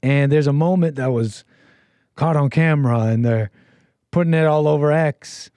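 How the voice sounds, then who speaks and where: A man's voice: fairly high pitch, normal speed, dark tone, smooth, dry recording. An American man hosting a call-in show, rambling casually with lots of restarts and laughs.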